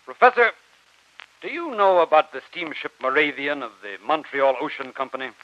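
Grave, urgent navy commodore, higher-pitched. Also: male voice, sing-song, moderate pace, sharp tone, no echo. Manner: formal, emphatic, authoritative. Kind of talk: 1940s radio drama acting. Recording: dull, dry 1940s radio recording.

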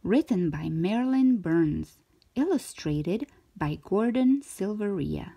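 A woman narrates a storybook for young children with wide, lively intonation. Her pace is slow and deliberate, stretching out key words. The voice has a slightly breathy, husky edge. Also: mid-range voice. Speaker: children's storytime host reading a picture book aloud.

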